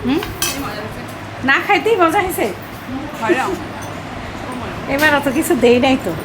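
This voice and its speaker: A woman's voice: high-pitched, lively intonation, brisk. A woman with a Bangladeshi accent chatting casually in a home vlog, coaxing and full of questions. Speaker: family vlogger, host. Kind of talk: casual home vlog chat with relatives and children. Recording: clattering household noise behind the voice.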